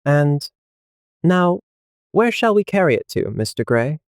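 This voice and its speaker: A man's voice: fairly high pitch, big pitch swings, unhurried, no room sound, studio quality, voice pushed up. A man voicing a genial, deferential little frame maker who is eager to please, in an audiobook story. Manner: obliging, deferential, chuckling tradesman talk.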